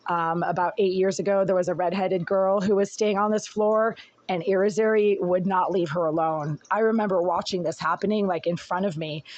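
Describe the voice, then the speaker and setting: A hoarse tenant recording an agitated, rambling complaint on a phone in an apartment, talking while fiddling with the device. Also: medium pitch, a little mellow. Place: apartment indoors. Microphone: phone held by speaker.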